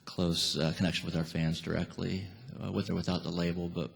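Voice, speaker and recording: Low gravelly guy, musician being interviewed, dry and halting, echoey noisy hall. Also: flat delivery. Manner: dry humour, hesitant. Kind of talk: casual live interview. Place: on a theatre stage.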